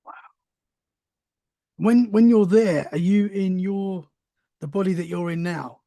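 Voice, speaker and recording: A man's voice: high-pitched, slow pace, vocal fry, mellow, no echo. A man hosting a podcast, asking casual questions and reacting with short remarks. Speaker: podcast host. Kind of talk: casual interview talk.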